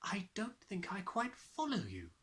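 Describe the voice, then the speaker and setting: A gentle woman schoolteacher from a children's storybook, put on by a man. She speaks softly and patiently, a little puzzled, with a soothing care and a very mobile pitch. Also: wildly swooping pitch, a little slow, exaggerated voice. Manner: soft, careful, soothing.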